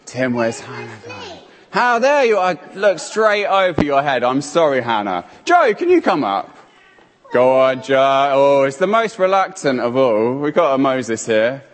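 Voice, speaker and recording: A man's voice: medium pitch, a little slow. A man preaching an interactive sermon on a handheld mic, playful and calling people up by name. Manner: playful, animated, calls on people. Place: church hall. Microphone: handheld microphone. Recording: hall reverb.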